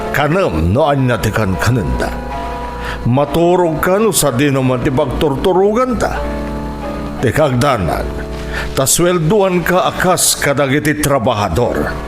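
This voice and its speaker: Stern father, lively higher-pitched voice. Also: a little slow, music in the background. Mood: stern, unyielding. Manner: firm, lecturing. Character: stern middle-aged father teaching his son hardship. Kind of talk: radio drama acting.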